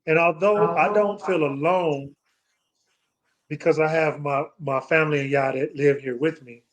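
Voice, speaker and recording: A man's voice: fairly high pitch, steady tone, measured pace. An American man hosting a scripture study, talking reflectively and often searching for his words. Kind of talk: reflective Bible discussion.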